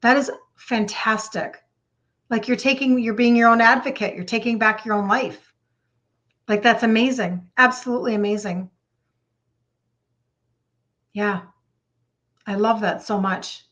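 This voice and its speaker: A woman in her fifties with a highish voice and a Minnesota accent. She hosts a casual live chat, talking to viewers by name in an excitable, gushing way and repeating phrases for emphasis. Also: measured pace, pauses often, highs cut off. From Minnesota, United States. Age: 50s. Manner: chatty, addresses viewers by name. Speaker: live stream host, health coach.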